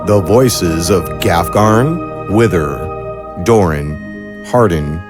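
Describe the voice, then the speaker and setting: Low-pitched man, unhurried, formal announcer, scripted sign-off, clear music underneath. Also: animated. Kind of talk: scripted podcast credits read.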